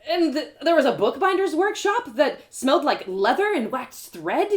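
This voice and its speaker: A woman storyteller reading first-person fiction aloud in an expressive, theatrical way. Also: high-pitched, normal speed. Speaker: author and storyteller.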